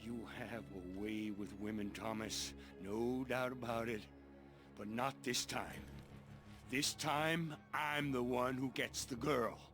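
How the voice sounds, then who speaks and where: An actor playing a suspicious, hot-headed Old West gunslinger in a video game, with a threatening drawl. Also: male voice, medium pitch, fairly even intonation, slow, music in the background, natural voice. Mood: possessive, challenging. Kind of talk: dramatic video game cutscene acting.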